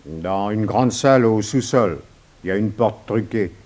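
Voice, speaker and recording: A man acts a submissive henchman in a 1960s French radio play. His voice is slightly low with a dull, dark tone. He answers haltingly, as someone under pressure. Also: lightly acted. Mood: cowed, hesitant. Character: cowed, hesitant male henchman under questioning.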